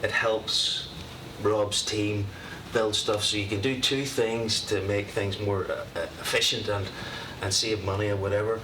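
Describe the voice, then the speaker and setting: Northern Irish man, low voice, distant. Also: unhurried, hardly any pauses. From Northern Ireland. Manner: relaxed, conversational, with filler words. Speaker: software presenter. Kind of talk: presenting at a public meeting. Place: county committee meeting room. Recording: roomy hall, distant mic, steady noise.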